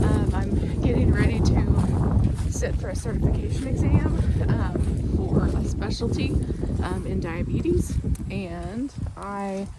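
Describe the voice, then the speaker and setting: A woman, slightly raspy from a sore throat, chatting casually through a garden vlog while filming outdoors on her phone. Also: mid-range voice, very noisy. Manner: chatty, rambling with many ums. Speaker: vlogger, gardening channel host. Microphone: phone held in hand.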